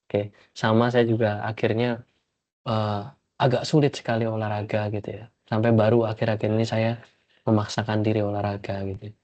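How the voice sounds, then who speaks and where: A man's voice: lowish voice, little pitch movement, normal speed, darkish tone. A man with a stuffy nose from a cold, a professor giving rambling congratulatory remarks in an online meeting, full of tag fillers. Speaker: professor and supervisor. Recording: dull lo-fi call audio.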